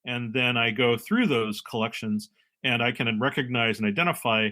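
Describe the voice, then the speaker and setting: A middle-aged man from California, a marine biologist guest speaking in a measured, explanatory way with frequent pauses and fillers. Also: mid-range voice, pushed and bright. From San Francisco, California. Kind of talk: relaxed interview talk.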